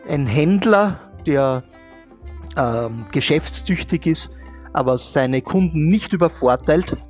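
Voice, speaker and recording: A man speaking as a guest player, thoughtful and halting as he explains. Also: a little high.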